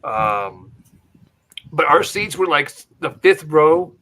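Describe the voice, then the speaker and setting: A man's voice: a little high, lively intonation, slow pace, a bit bright. A man co-hosting a wrestling podcast in loose, casual chat, rambling into side stories and apologizing for going off topic. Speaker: podcast co-host.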